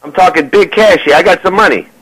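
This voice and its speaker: A man's voice: high voice, fairly bright tone, breathy edge, dry recording, poor audio. A man putting on a pushy New York hustler. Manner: pushy, swearing, drawn-out delivery. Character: brash sleazy middle-aged New York hustler. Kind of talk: prank phone call character.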